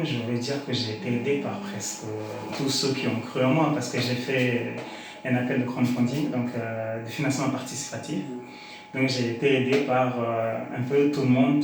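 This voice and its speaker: A man's voice: mid-range voice, steady tone, normal speed, soft background music. A man with a Comorian accent and Shikomori as his mother tongue, giving conversational, explanatory interview answers as a guest. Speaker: interview guest, slam poet. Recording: very echoey room with faint noise.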